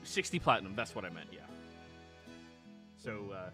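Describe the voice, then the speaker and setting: A man speaking casually and haltingly as he improvises as game master of a tabletop fantasy game. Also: low voice, very animated, bright, forceful tone. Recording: music playing behind the voice.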